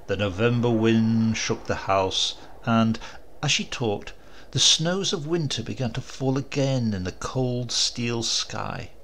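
Slow, expressive male storyteller. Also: mid-range voice, a little hiss or hum. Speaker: audiobook narrator. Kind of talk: dramatic literary story narration.

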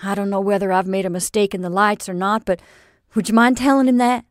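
A woman's voice: mid-range voice, steady tone, fairly quick, no room sound, close to an everyday voice. Old male sea captain, voiced by a woman, bluff, emphatic, salty speech, dry sound. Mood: earnest. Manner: salty seafaring talk, loud and emphatic.

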